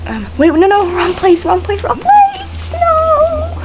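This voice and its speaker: A woman's voice: extremely high pitch, very animated, slow pace, low-quality recording. A woman hosting a gaming video with casual commentary, hesitant and rambling, often repeating her phrases. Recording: game music behind commentary.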